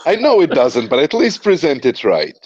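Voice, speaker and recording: Male guest, sarcastic jokester, lively dry voice. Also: fairly high pitch, normal speed, slightly rough, no room sound, muffled recording. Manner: playful, sarcastic. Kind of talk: casual group chat.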